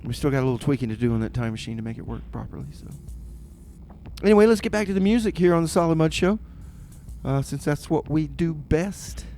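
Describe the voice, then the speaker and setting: A man hosting a community radio music show with casual, rambling, tongue-in-cheek chat, often halting and repeating his words. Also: a little high, animated, mellow, a little hiss or hum.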